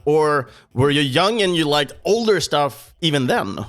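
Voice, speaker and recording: Brief: upbeat male podcast host, fairly high voice, very animated intonation. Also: unhurried. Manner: upbeat, enthusiastic, often laughing. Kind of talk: casual podcast interview.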